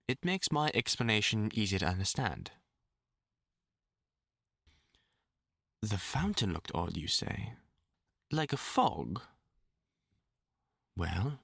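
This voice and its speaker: A man's voice: medium pitch, bright, forceful tone, no room sound, clean studio sound, heavily acted. A man with a British accent playing a courteous magician in an audio drama, explaining things formally and apologetically with some hesitation. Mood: concerned, grave. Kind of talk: character audio drama monologue.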